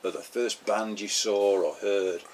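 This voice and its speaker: A man's voice: fairly low pitch, steady tone, a little slow. A male interviewer asking long, rambling questions with many restarts in a casual chat. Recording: distant mic with faint background noise.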